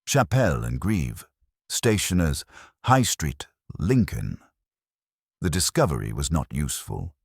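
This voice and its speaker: A man reading scripted literary fiction as narrator in a measured, formal, storytelling manner, with a husky voice. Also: very deep voice, big pitch swings, no room sound, studio quality.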